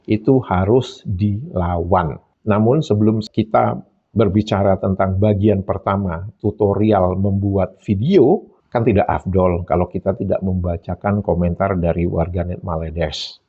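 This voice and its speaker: A man's voice: sits low, unhurried, husky, phone-quality sound. A man giving solo commentary, emphatic and mocking, often repeating phrases for effect. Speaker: YouTube commentator.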